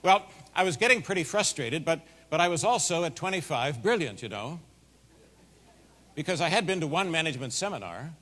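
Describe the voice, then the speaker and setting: A middle-aged man giving a keynote speech, telling a folksy, animated story in a big voice. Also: on the high side, fairly quick. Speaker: keynote speaker. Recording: long hall reverb.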